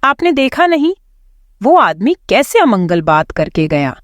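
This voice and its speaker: A woman playing an anxious, devout young wife, worried and pleading, in an animated horror story. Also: fairly high pitch, a little slow, dry recording, studio quality. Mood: fearful.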